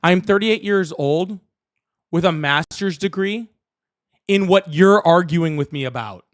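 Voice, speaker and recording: A man's voice: high-pitched, a little slow. A man in his late thirties hosting a heated political debate from his home office, curt and sarcastic. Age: 30s. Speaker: debate host.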